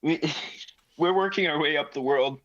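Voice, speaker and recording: A man's voice: fairly high pitch, moderate pace, fairly bright tone, no room sound. A man chatting casually as a tabletop game player, with playful, dry irony. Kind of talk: casual joking chat. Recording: slightly dull, lo-fi audio.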